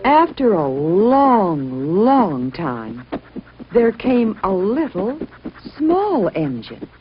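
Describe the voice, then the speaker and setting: A woman's voice: mid-range voice, sing-song, drawn-out words, soft background music. A woman narrating a children's story expressively, as a storyteller. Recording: muffled, noisy old-sounding recording.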